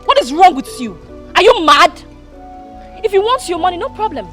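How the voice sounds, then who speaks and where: A woman's voice: very high-pitched, very animated, moderate pace, soft background music, exaggerated voice. A woman playing an arrogant Nigerian madam. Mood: scornful. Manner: scornful, shouting, mixes Pidgin. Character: arrogant, bullying rich woman of a compound. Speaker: wealthy madam of the compound. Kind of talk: Nollywood drama acting.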